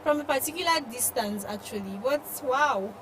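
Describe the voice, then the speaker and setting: A woman's voice: sits high, animated, moderate pace. Nigerian woman in conversation, noisy recording. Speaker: talk show co-host discussing the news. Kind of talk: conversational news discussion. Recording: noisy room ambience.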